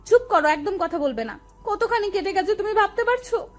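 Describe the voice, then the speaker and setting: A woman's voice: very high voice, animated, fairly quick. A woman playing a doting, bossy, emotional young woman in love in an audio romance. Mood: alarmed, insistent. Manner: fussing, commanding, emotional. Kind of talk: audio love story dialogue.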